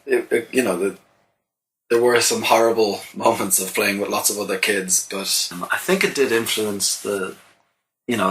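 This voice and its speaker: An Irish songwriter answering interview questions in a thoughtful, halting way, with many restarts. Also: male voice, fairly low pitch, a bit bright, rough recording. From Ireland. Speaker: songwriter guest.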